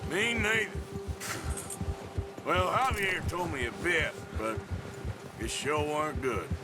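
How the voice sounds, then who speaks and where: Slow, drawling American cowboy outlaw. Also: male voice, on the high side, over loud music. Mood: weary, reflective. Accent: American, Western drawl. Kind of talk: naturalistic western video game acting.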